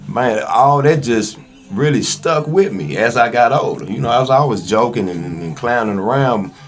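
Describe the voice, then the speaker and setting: A man's voice: medium pitch, lively intonation, normal speed, soft background music. A man with a Southern US accent telling stories as a podcast guest in a casual, slangy, conversational way. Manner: slangy, informal. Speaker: podcast guest, actor and online skit comedian.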